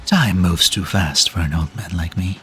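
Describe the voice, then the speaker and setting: Man acting a deep, weary, ancient immortal man. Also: moderate pace, bright, forceful tone. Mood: wistful, weary. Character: ancient weary long-lived male being, cryptic and wry. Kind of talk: fan-dub game drama acting. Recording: background music under the voice.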